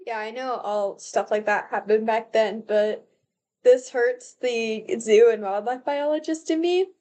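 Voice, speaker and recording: Brief: young American woman, rambling reaction-video talker, fairly high voice. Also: a little slow, dark tone. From born in Canada, raised in the US. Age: young adult. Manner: chatty, rambling, thinks aloud with many ums. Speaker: video reactor, college student. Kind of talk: casual reaction commentary.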